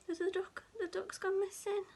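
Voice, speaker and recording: A woman reading a picture book aloud to young children in an expressive, dramatic storytelling manner. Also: very high voice, normal speed, creaky. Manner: dramatic, with hushed whispers and gasps.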